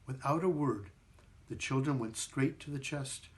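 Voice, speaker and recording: A man reading a children's story aloud in an expressive, theatrical way, sometimes repeating a word as he goes. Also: mid-range voice, a little slow. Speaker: performing arts director reading a story.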